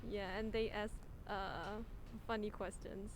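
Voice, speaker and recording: A woman from Thailand, chatting casually as an interviewee and pausing between phrases. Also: medium pitch, fairly even intonation. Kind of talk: casual chat. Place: outdoors in a city. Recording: noisy outdoor street with traffic.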